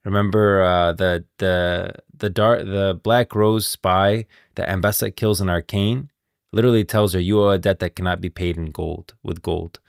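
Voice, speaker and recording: A man's voice: sits low, steady tone, moderate pace, gravelly, smooth, dry recording. A man giving casual commentary on video game lore as a streamer, recorded close and dry.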